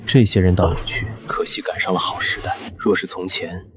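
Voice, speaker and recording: An actor playing a lofty, unruffled ancient court sorcerer with formal, archaic speech, in an animated drama. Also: male voice, deep voice, fairly quick, background noise, natural voice. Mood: cool, imperious. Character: haughty ancient male court sorcerer stranded in modern times. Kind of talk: dramatic monologue and dialogue for animated story.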